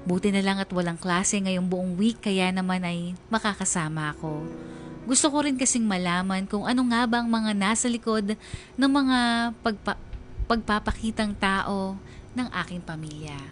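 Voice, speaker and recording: A woman's voice: mid-range voice, normal speed, close to an everyday voice. Woman as troubled young narrator over music. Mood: pensive, relieved. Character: troubled, confused young woman confiding her family's secrets. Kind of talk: first-person radio drama narration.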